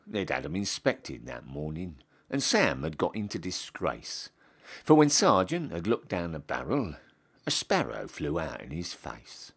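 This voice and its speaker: A man's voice: low voice, wildly swooping pitch, a little slow, gravelly, a bit bright, husky. A man with a Northern English accent giving a theatrical, storytelling recitation of a comic dialect monologue. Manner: dramatic, storytelling delivery. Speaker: reciter, town crier.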